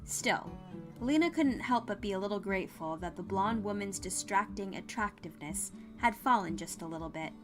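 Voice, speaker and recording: A woman serves as narrator of an audio story. Her voice is medium in pitch and she reads scripted prose. Music plays underneath her. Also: expressive, normal speed.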